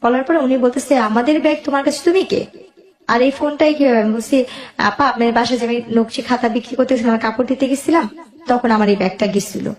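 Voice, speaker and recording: A woman's voice: fairly high pitch, quick pace, reverberant. A woman with a Bangladeshi accent tells her own life story as a guest, emotionally, quoting both sides of conversations as she goes.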